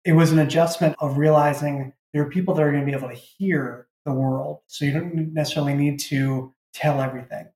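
A man's voice: fairly high pitch, fairly even intonation, fairly quick, few pauses, darkish tone. A man chatting casually and reflectively as a writer being interviewed. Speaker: audio drama creator and writer, interview guest. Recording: echoey room.

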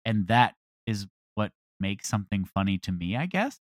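Male interview guest: somewhat low pitch, informal, hesitant phrasing, dry close sound. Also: sing-song, normal speed, no room sound. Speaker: audio comedy creator, interview guest. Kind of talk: casual interview chat.

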